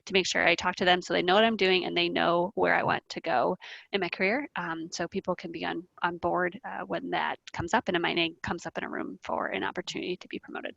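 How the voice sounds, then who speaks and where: A woman's voice: fairly low pitch, level tone, a little fast, creaky, fairly bright tone, no echo, highs cut off. A young woman speaking informally and colloquially as a panelist, with plenty of "like". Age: young adult. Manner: colloquial, says "like" often.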